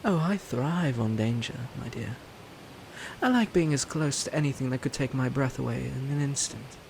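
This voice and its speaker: An actor playing a suave, smug, flirtatious prince in a romantic roleplay audio drama, teasing and haughty with a sinister edge. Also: male voice, mid-range voice, normal speed, vocal fry, a little mellow, slight background noise, lightly acted, rough recording. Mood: silky, menacing. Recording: music under the voice.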